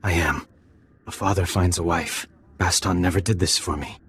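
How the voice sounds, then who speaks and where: An actor playing a dutiful young alien bridegroom in a video game, speaking formally and with restraint. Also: male voice, sits low, steady tone, moderate pace, vocal fry, a bit bright, a little hiss or hum. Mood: solemn, tender.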